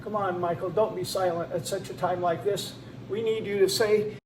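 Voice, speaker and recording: A man's voice: high-pitched, fairly even intonation, moderate pace. A man co-presenting a scripted campaign speech with rousing rhetorical appeals. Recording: roomy, faint noise.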